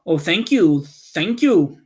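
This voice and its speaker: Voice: mid-range voice, big pitch swings, slow pace, a little mellow, slightly rough, exaggerated voice. A reader as an eager, earnest storybook scarecrow. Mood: grateful. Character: eager, earnest male Scarecrow wanting brains. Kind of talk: storybook character reading.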